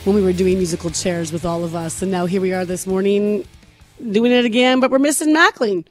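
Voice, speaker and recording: A woman co-hosting a morning radio show in casual chat, her voice hoarse and scratchy from overuse, laughing often as she talks. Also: mid-range voice, a little fast, faint music behind. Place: radio studio. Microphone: studio microphone.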